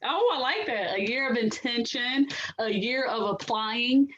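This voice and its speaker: A woman co-hosting a casual podcast, with a raspy, nasal voice that is a bit hoarse, chatting with many fillers. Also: on the high side, lively intonation, unhurried, fairly bright tone, muffled recording, slight background noise. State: hoarse, recovering. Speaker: podcast co-host. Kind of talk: casual chat.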